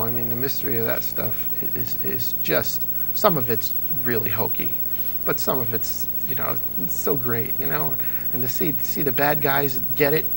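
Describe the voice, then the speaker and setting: A man being interviewed as a fan of old-time radio, telling lively anecdotes in a relaxed conversational way. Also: medium pitch, creaky, rough recording. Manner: animated storyteller, mimics voices in anecdotes. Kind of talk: casual anecdotal chat.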